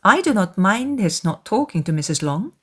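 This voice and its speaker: A woman voicing a self-assured, teasing young gentlewoman in a dramatized classic novel, with a measured and knowing manner. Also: medium pitch, very animated, breathy edge. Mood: reasonable.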